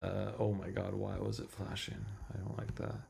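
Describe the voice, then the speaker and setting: A man's voice: on the low side, level tone, normal speed, gravelly, background noise. A man streaming a visual novel, chatting casually and muttering mildly exasperated asides. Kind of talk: casual livestream chat.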